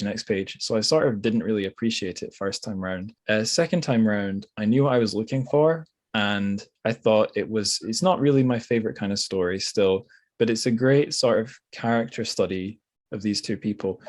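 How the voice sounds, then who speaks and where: A man with a fairly low voice talks casually about books as a podcast host. He thinks aloud in an informal manner, and the sound is dry with little room in it. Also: normal speed, dry recording. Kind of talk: casual literary discussion.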